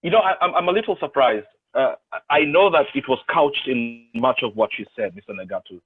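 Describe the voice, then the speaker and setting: A man's voice: mid-range voice, expressive, a little fast, slightly rough, no room sound. A man moderating a panel, who gives conversational responses and hesitates now and then when turning to the next speaker. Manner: conversational, with backchannel affirmations and hesitations. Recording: tinny phone-like line.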